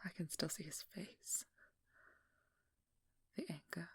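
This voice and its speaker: Breathy whispering woman as a haunted female vampire hunter. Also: on the low side, fairly bright tone, heavily acted. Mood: bitter, haunted resolve. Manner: slow, halting, pained confession in short broken phrases. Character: haunted, determined female vampire hunter confessing tragic past. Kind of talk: whispered ASMR audio role-play monologue.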